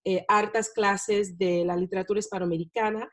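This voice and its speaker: A woman, a non-native Spanish speaker, talking as a guest about teaching in a relaxed interview, with many fillers and tag questions. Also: medium pitch, moderate pace. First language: not Spanish. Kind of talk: casual interview talk.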